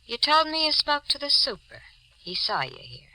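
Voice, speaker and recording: An actress playing a cold, manipulative femme fatale in a 1940s radio thriller, coolly taunting and insistent. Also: female voice, sits high, huge pitch swings, normal speed, bright, forceful tone, no room sound, full character voice, poor audio. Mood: menacing, taunting.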